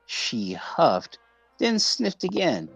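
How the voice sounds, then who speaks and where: A man's voice: a little high, wildly swooping pitch, very slow, gravelly, fairly bright tone, husky, dry recording, faint music behind, poor audio. A man reading visual novel narration aloud as a streamer, occasionally stumbling and rereading words.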